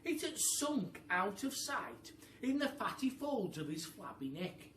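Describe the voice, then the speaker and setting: A man with a British accent telling a story to children like a primary school teacher, expressive and addressing them directly. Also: sits high, measured pace. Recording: echoey room, distant mic, faint noise.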